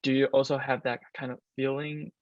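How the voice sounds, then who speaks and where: A man's voice: mid-range voice, flat delivery, fairly quick, no room sound, highs cut off. A man hosting an interview, asking questions and giving frequent short affirmations. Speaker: interviewer and pianist host. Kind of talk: interview questions with brief backchannels.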